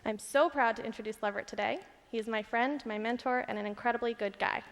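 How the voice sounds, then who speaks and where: A woman's voice: on the high side, fairly even intonation, fast, creaky, slight background noise. A woman reading a prepared tribute speech aloud at an awards ceremony, recorded in an echoing hall. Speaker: staffer reading remarks on another's behalf. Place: large indoor event hall. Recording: echoey hall with long reverb.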